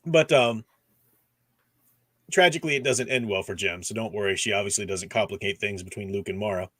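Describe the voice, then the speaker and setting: A man's voice: fairly low pitch, fairly quick, fairly bright tone, no room sound. A man hosting a discussion stream, casually recapping and explaining in an enthusiastic, conversational way. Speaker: host of a book discussion stream.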